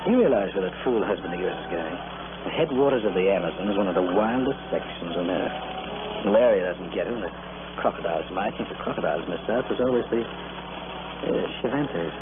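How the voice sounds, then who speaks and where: A man's voice: deep voice, lively intonation, brisk. An actor playing a suave, scheming lover of a married woman in old-time radio drama, theatrical and insinuating. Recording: tinny, very noisy vintage radio recording.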